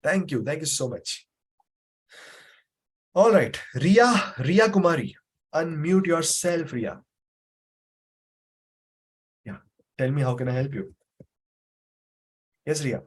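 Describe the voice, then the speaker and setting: A man's voice: fairly high pitch, expressive, slow pace, pauses often, breathy edge. A man teaching a live online memory class over a video call, patiently explaining and repeating points with frequent fillers. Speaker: memory trainer.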